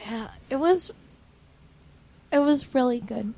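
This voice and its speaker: A woman's voice: sits high, slow, mellow. A woman co-hosting a podcast, talking casually in conversation. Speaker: podcast co-host. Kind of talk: casual chat.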